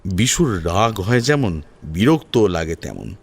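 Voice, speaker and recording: Expressive low-ish male Bengali storyteller. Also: takes it slowly, slightly rough. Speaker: story narrator. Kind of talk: dramatic scripted narration.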